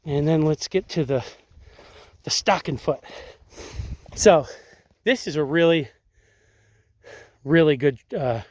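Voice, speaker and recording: Slightly raspy man explaining outdoors. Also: fairly high pitch, slow, long pauses, dry recording. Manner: relaxed, rambling, filler-heavy explaining. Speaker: tracking instructor. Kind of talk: casual outdoor demonstration. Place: outdoors on sandy riverbank.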